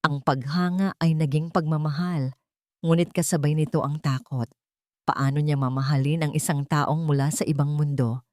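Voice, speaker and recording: A woman's voice: low voice, steady tone, normal speed, a little mellow, no room sound, studio quality. A woman narrating a scripted romance story as a dramatic storyteller.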